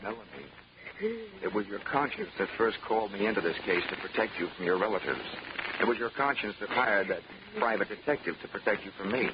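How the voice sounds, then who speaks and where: A man's voice: on the low side, lively intonation, fast, noisy background. An actor playing a wry, hard-boiled investigator who narrates his case with dry, clipped wisecracks in 1940s radio style. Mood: stern. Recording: muffled 1940s radio with music.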